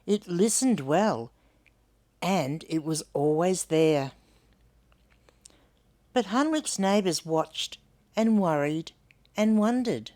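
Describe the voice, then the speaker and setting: A woman's voice: on the low side, animated, slow, long pauses, a little mellow. An older woman with an Australian accent reading a storybook aloud to children, expressively and with questions for young listeners.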